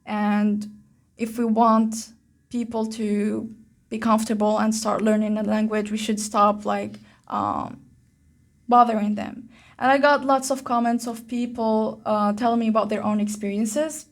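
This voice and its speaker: Woman, young adult, Algerian accent, casual vlog host, thoughtful, frequent pauses. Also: on the high side, fairly even intonation. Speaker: channel host.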